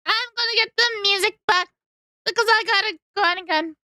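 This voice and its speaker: Brief: hoarse, bubbly woman streamer, high, lively voice, dry room. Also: a little fast, no echo. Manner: bubbly, talks to chat, hums tunes. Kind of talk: casual livestream reaction chat.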